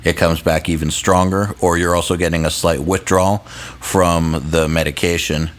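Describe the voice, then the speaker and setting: Very deep-voiced male podcast host. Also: normal speed. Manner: relaxed, swears casually, rambling storytelling. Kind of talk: casual chat.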